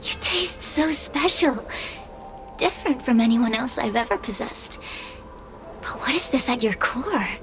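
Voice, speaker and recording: A woman voicing a sly, seductive possessing spirit in a video game, teasing and quietly menacing. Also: sits high, animated, music in the background. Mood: sly, alluring. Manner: silky, teasing, deliberate.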